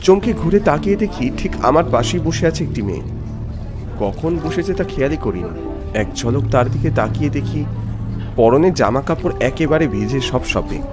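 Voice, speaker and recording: A man playing a polite, jittery young office worker who narrates a horror story in an expressive, suspenseful way. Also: fairly high pitch, normal speed, natural voice. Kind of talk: dramatic scripted first-person horror narration with dialogue. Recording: music bed under voice.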